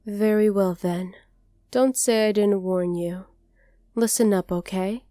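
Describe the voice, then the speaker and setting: A woman voicing a haughty, possessive dragoness captor in an ASMR roleplay, speaking to the listener in a condescending, deliberate way. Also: medium pitch, fairly even intonation, warm tone, dry recording, studio quality, lightly acted. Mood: stern, condescending.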